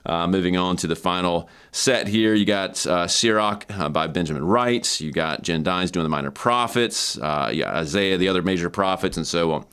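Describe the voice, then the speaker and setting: A man's voice: low-pitched, moderate pace, hardly any pauses, fairly bright tone, clear, resonant tone. A man hosting an informal, explanatory walkthrough of an academic book, conversational yet scholarly, repeating words for emphasis. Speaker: host and book reviewer.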